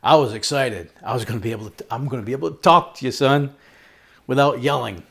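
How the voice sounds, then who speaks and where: A man's voice: medium pitch, quick pace, vocal fry, a bit bright, breathy edge. A middle-aged American man chatting casually through a product review in a folksy, jokey, storytelling way. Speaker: host of a product review video.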